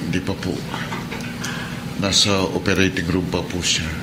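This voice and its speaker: A low-pitched, earnest Filipino husband and suspended policeman in a radio drama. He is devout, respectful and burdened, over clear background noise. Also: little pitch movement, unhurried. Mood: anxious, grateful.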